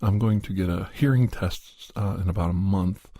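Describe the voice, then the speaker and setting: A man with a lowish, gravelly voice hosts an ASMR podcast. He explains things informally and without hurry, keeping it hushed and close to the mic. The sound is very dry, with no sense of a room. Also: normal speed, no room sound. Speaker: podcast host. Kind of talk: ASMR, rambling update and story recap.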